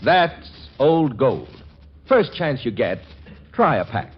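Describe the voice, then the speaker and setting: A man working as a commercial announcer on a 1940s radio show, pitching with comic bluster on a dull old recording. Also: sing-song, slow pace, breathy edge, soft background music.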